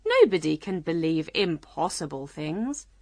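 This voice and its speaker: A woman's voice: low-pitched, sing-song, a little slow, a little mellow, dry recording, studio quality, exaggerated voice. A woman playing a sensible, polite young storybook girl who questions and argues. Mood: doubtful, amused.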